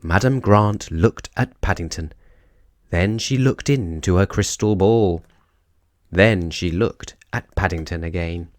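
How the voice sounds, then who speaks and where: A man narrating a children's storybook as an expressive storyteller. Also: sits low, takes it slowly, no echo.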